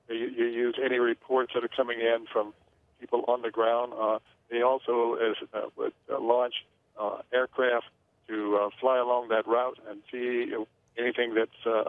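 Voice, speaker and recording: A man speaking as an aviation analyst in a news interview, measured and hesitant with many fillers. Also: medium pitch, little pitch movement, no room sound. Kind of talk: phone-in expert interview. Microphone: phone line. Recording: tinny phone line, dry.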